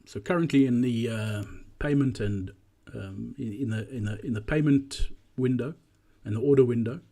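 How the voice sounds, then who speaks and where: A man narrating a walkthrough presentation in a measured, explanatory way. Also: medium pitch, dark tone.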